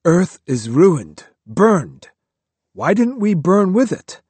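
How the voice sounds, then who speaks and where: A man's voice: medium pitch, wildly swooping pitch, slow, slightly rough, dry recording, heavily acted. A man voicing a restless male monk and former drunk, bitter and argumentative. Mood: irritated.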